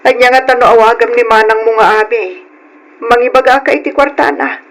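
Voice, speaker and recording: A woman's voice: on the high side, fairly even intonation, a little fast, natural voice. A woman playing a worried, poor middle-aged provincial mother in an old Philippine radio drama. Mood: worried pleading.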